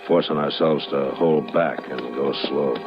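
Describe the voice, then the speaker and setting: Stern American western lawman, extremely low voice, measured, terse, old radio drama, music behind. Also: steady tone, highs cut off. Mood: grave narration. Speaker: lead character and narrator. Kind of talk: old-time radio western acting and narration.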